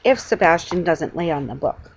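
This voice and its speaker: A woman's voice: sits low, animated, normal speed, creaky, noisy background. A middle-aged woman reading a children's story aloud informally at home. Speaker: storybook reader. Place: living room at home.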